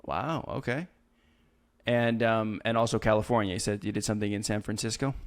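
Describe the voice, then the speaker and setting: A man's voice: lowish voice, brisk. A man asking casual, conversational questions as the host of a podcast interview.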